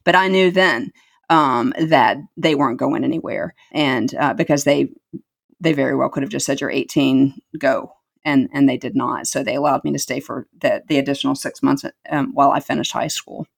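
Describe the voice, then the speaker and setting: A woman's voice: a bass voice, fairly even intonation, moderate pace, vocal fry. A middle-aged woman telling her life story as an interview guest, earnest and reflective, repeating words for emphasis.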